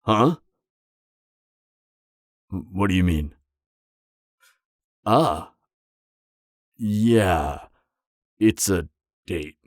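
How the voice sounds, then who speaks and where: A man plays an awkward, earnest supervillain in a conversational audio roleplay. His voice is low and recorded close and dry. He stammers a little but stays matter-of-fact and by the book. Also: expressive, extremely slow, pauses often, dry recording, full character voice. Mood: hesitant, insistent.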